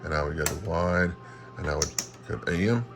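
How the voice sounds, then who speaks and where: A man giving a casual hands-on demonstration as a hobbyist, halting and thinking aloud as he works. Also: deep voice, music in the background. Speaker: radio hobbyist demonstrating equipment. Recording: clear radio static and hiss behind. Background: shortwave radio noise.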